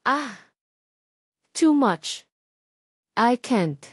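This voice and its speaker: A woman's voice: fairly high pitch, expressive, extremely slow, husky, no room sound, clean studio sound, voice pushed up, full character voice. A woman voicing an overwhelmed, pleading young heroine of a narrated romance story, in exclamations.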